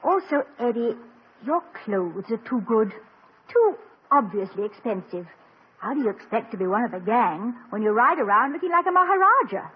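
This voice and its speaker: An actress playing a gracious, witty college president's wife in poised, teasing 1950s radio comedy style. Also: female voice, fairly high pitch, very animated, normal speed, slightly rough. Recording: dull lo-fi 1950 radio broadcast.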